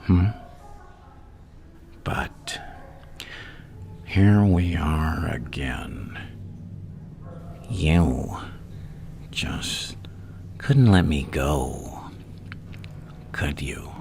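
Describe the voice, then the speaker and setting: A man playing a gleefully menacing, seductive madman villain in an ASMR roleplay, teasing and taunting in a gravelly, breathy voice that often sinks to a whisper. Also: a bass voice, animated, extremely slow, long pauses, a little mellow, slight background noise, heavily acted. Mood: gloating, teasing.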